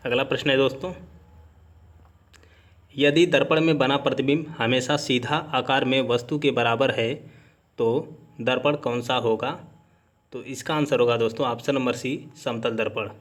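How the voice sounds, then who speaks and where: A man's voice: medium pitch, fairly even intonation, normal speed, long pauses. A man teaching an exam-prep lesson, methodically reading out questions and then giving each answer.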